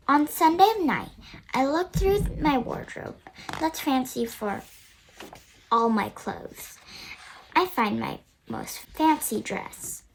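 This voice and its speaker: A young girl of about nine reads a storybook aloud in a high, very animated voice. She goes slowly and carefully, word by word, with some background noise on the recording. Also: low-quality recording. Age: child, about nine. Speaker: young reader of a picture book. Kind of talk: storybook read-aloud narration.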